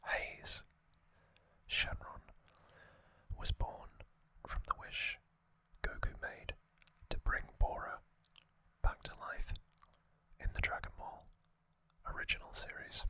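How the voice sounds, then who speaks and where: A man hosting ASMR, explaining trivia informally in a soft, unhurried, breathy whisper. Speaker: ASMR video host.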